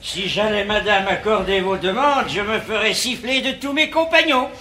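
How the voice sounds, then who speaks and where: A man's voice: high voice, moderate pace, sharp tone. A man playing a stubborn, formal notary in a French verse stage comedy, officious and declamatory. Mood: affronted. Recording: echoey, noisy vintage theatre recording.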